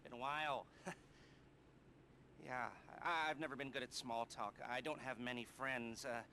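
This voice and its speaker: An actor playing an awkward, neurotic middle-aged criminal heist planner in a video game, with an American accent and a halting, nervous, wry delivery. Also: male voice, medium pitch, fairly even intonation, sharp tone, slight background noise, natural voice. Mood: awkward, confessional. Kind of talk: video game cutscene acting.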